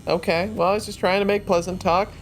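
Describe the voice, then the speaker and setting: A man's voice: fairly even intonation, quick pace. A man playing a tabletop roleplaying game, improvising casual dialogue in a blunt, questioning, informal way. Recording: faint rumble and background noise.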